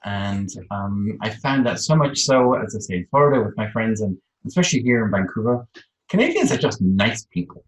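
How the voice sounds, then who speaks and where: A man's voice: on the low side, animated, moderate pace. A man with a British accent telling personal stories conversationally as an interview guest. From Isle of Man, UK. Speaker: interview guest, social media expert. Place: online video call in a room. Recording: roomy, echoey video-call sound.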